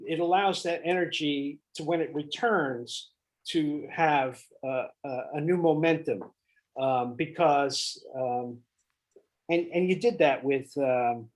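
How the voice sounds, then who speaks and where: A man giving casual directing notes to actors, thinking aloud and often repeating himself in a halting way. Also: a little high. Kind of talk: casual spoken feedback.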